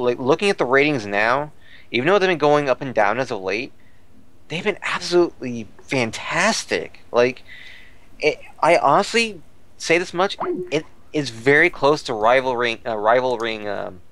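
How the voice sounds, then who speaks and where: Clear-voiced man rambling through fan news. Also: mid-range voice, a bit bright. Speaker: podcast commentator. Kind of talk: casual fan news discussion.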